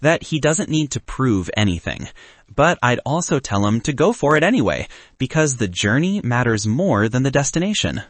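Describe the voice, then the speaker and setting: A man's voice: medium pitch, sing-song, moderate pace, no echo. A man voicing a young male startup founder in an audiobook, earnest, self-doubting, reflective and wry. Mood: content, reflective.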